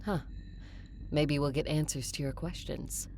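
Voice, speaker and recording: An actress plays a woman conqueror recounting her quest in an audio drama. Her voice sits a little low, with some noise behind it. She narrates in the first person and speaks with the other characters. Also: steady tone, measured pace, darkish tone. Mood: grave.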